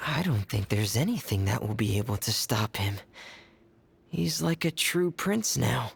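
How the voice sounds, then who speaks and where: A man voicing a shy, earnest teenage boy in a video game, with a creaky, breathy, hesitant delivery. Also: medium pitch, sing-song, measured pace, slight background noise.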